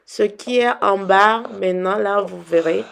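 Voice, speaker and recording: Hoarse young woman, unhurried and gravelly, teasing viewers while she cooks. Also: mid-range voice. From Benin. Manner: chatty, teasing, addresses viewers directly. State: hoarse from a cold. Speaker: cooking vlogger. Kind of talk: casual cooking demonstration.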